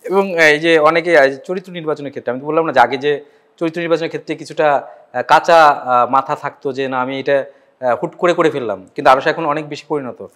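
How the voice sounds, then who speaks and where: Higher-pitched Bangladeshi male interviewer. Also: quick pace, a bit bright. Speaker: interviewer, show host. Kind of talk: conversational interviewing.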